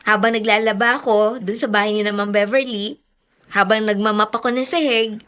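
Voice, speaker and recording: A woman's voice: medium pitch, steady tone, fairly quick, a bit bright, clear, resonant tone, lightly acted. A young woman playing a dutiful, contrite daughter in a Filipino radio drama, sweet and respectful. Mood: reflective.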